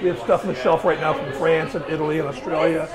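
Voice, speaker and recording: Middle-aged man, winery owner, quick-talking and easygoing, in a busy tasting room with a crowd behind him. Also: on the high side. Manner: relaxed, chatty, with frequent "uh" pauses. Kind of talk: casual interview answers. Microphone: lapel mic. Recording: lapel mic with busy crowd noise behind. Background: crowd chatter.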